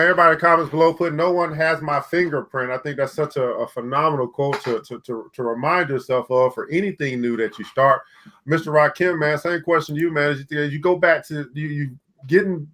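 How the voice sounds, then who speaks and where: A man's voice: a little high, sharp tone. A man hosting an author panel, upbeat, often saying "man" and stumbling over repeated words. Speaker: host. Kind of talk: interview moderating.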